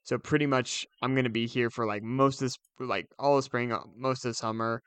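A man's voice: medium pitch, steady tone, fairly quick, a bit bright, clean tone, no room sound. A young man co-hosting a podcast in casual, conversational chat. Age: young adult. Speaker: podcast co-host.

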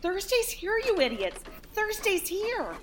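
A woman's voice: very high voice, sing-song, unhurried, slight background noise, full character voice. A woman playing a young office worker in a comic audio play, wry, exasperated and melodramatic.

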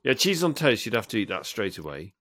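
A man's voice: lowish voice, sing-song, a little fast, fairly bright tone, dry recording. A British man bantering casually as a podcast co-host, jokey and colloquial with playful exaggeration.

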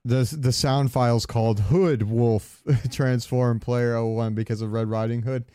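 Casting: male podcast co-host, clean smooth mid-range voice, joking, hesitant gaming chat, very dry. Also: mid-range voice, steady tone, a little slow, dark tone, dry recording.

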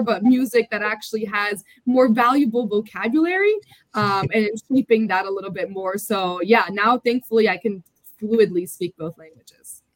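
An American woman, a little under the weather, chats easily as an interview guest. Her voice sounds dry and close, with no room around it. She is upbeat and talkative, at a middle pitch. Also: dry recording, low-quality recording. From United States. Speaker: podcast guest. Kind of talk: casual interview talk.

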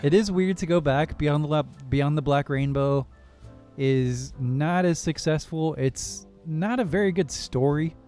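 A man's voice: fairly high pitch, unhurried, darkish tone. A young man co-hosting a casual film podcast, talking in a rambling, jokey way. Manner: laid-back, rambling, jokey. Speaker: podcast co-host. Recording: faint music behind.

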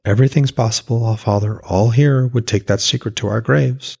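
A man voicing a composed, respectful goddess of magic in a fantasy story, speaking in a measured, deferential way. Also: medium pitch, steady tone, creaky, a little mellow, breathy edge, pitched above the performer's own voice. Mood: gently persuasive.

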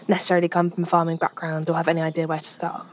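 A woman's voice: on the low side, monotone, rapid-fire, slight background noise. A woman podcast host asking interview questions in a friendly, encouraging way.

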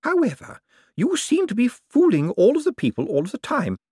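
A man's voice: very high-pitched, huge pitch swings, normal speed, dark tone, husky, no room sound, studio quality, pitched above the performer's own voice, full character voice. A man voicing a feisty, shrewd old aristocratic woman, direct, teasing and imperious, in an audiobook. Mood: playful. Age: elderly. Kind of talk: audiobook character voice.

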